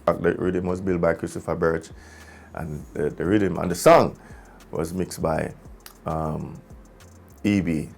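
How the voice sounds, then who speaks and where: A Jamaican man, a musician, telling a story casually in an animated way, with patois phrasing. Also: deep voice, slow pace, creaky, pauses often, soft background music. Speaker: musician, singer in a group.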